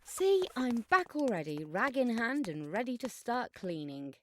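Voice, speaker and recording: A woman's voice: a little high, sing-song, a little slow, noisy background. A woman playing a sarcastic, bossy schoolgirl with a flippant, theatrical way of talking in an audio drama. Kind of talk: scripted audio drama dialogue.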